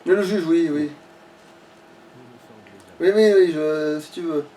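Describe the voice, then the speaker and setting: A man's voice: on the high side, takes it slowly, mellow. A man playing a video game and commenting casually, muttering, swearing and thinking aloud. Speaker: player in a let's play. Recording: distant mic, faint background noise.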